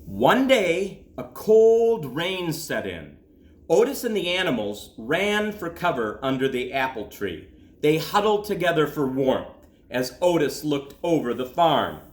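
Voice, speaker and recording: A man, a school principal, reading a children's story aloud expressively, with dramatic pauses and drawn-out words. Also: on the high side. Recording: roomy echo.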